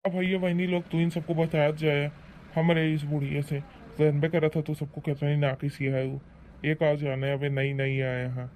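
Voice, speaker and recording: A man's voice: a little high, level tone, moderate pace, darkish tone, slight background noise, natural voice, poor audio. A man playing a blustering, defensive old village husband in a rural comedy cartoon, scolding and rambling in an Awadhi accent. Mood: confiding, wary. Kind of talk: rustic comedy cartoon acting.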